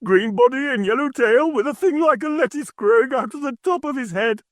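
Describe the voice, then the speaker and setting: A man voicing an old male miser, speaking in a shrill, excited voice between laughing and crying. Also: normal speed, no echo, clean studio sound, close to an everyday voice. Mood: delighted. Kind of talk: audiobook character voice.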